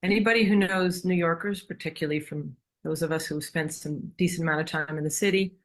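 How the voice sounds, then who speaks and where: American woman, low-pitched, explaining directly. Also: fairly quick. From New York, United States. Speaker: interview guest, community therapist. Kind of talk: conversational interview.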